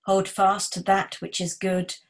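A middle-aged woman vicar reading formal liturgy in a solemn, measured way. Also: mid-range voice, level tone. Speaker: vicar leading worship.

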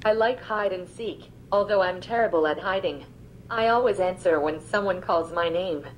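A woman's voice: lowish voice, normal speed, slight background noise, rough recording. A text-to-speech female assistant voice answering questions politely, played from a small smart speaker in a room. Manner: even, polite, machine-read delivery. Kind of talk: synthetic assistant replies. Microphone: small speaker played back in a room.

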